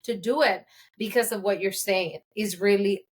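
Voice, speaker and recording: A woman's voice: medium pitch, fairly even intonation, normal speed. A woman hosting a casual podcast, asking direct questions in a chatty, candid way. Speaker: podcast host.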